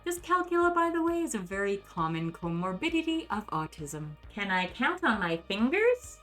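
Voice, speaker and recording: A woman's voice: mid-range voice, big pitch swings, moderate pace, a bit bright. A middle-aged woman hosting a dramatic reading of comments in a theatrical, sarcastic manner. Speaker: host. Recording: music playing behind the voice. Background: background music.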